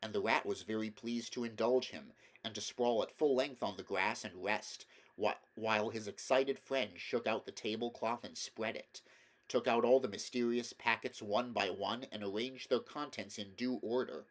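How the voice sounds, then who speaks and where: A man narrating a children's animal story as an expressive audiobook reader. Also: on the low side, moderate pace.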